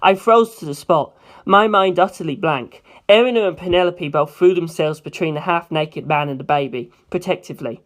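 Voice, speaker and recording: A woman narrating a story aloud in an expressive audiobook style. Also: on the low side, moderate pace.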